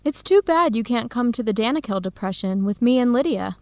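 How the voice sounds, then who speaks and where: A young female researcher in an educational radio drama. Her voice sits somewhat high and she speaks with clear, courteous phrasing. She comes across as eager and organized, in a very dry recording. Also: lively intonation, fairly quick, no room sound. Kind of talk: radio drama dialogue for English learners.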